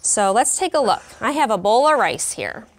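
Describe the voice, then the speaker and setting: A woman in her thirties, a teacher in a classroom, reading a story aloud with clear, expressive delivery and pausing to explain words. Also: on the high side. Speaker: kindergarten teacher. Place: elementary classroom.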